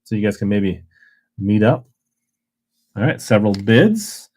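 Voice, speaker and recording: Casual male auction host, slightly low voice, loose and chatty. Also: big pitch swings, measured pace, a little mellow. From Minnesota. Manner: rambling, chatty, reads chat aloud. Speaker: live auction seller. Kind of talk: casual livestream selling.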